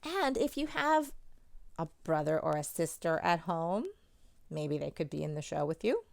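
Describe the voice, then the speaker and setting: A woman's voice: low voice, lively intonation, normal speed, vocal fry, darkish tone, dry recording. A woman talking casually and encouragingly to children, giving chatty craft instructions as a presenter. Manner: encouraging, chatty, addressing young viewers directly. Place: indoors at home, at a table.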